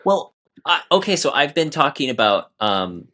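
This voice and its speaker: A man's voice: mid-range voice, very animated, normal speed, bright, forceful tone. A man on a podcast panel chatting casually about fiction, exclamatory and given to quoting his own reactions.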